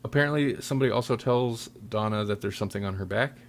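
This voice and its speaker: A man hosting a casual podcast chat, often halting and thinking aloud as he talks. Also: fairly low pitch. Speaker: podcast host.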